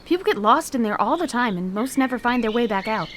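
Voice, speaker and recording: A practical young traveler, acted by a woman with a fairly high voice. She talks matter-of-factly, keeping the group on track in an audio drama. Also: animated, quick pace, a bit bright, a little hiss or hum. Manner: level-headed, matter-of-fact.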